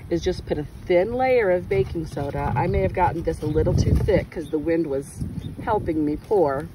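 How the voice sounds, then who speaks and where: Expressive, slow-paced woman teacher in noisy wind. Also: fairly low pitch. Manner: exclaiming, gasping, coaxing children with questions. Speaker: teacher of young children. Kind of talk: hands-on demonstration for children and parents. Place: outdoors in wind and sun. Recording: windy outdoor phone recording with noise.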